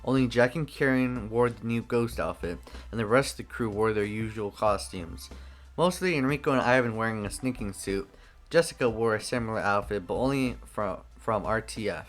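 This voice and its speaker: A man narrating a fan-made action story in an even reading voice. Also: on the low side, moderate pace, soft background music.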